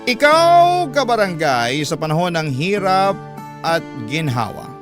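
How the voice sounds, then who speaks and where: A man's voice: sits high, sing-song, unhurried. A man narrating dramatically as a radio storyteller, reading a listener's letter. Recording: music playing behind the voice. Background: instrumental music.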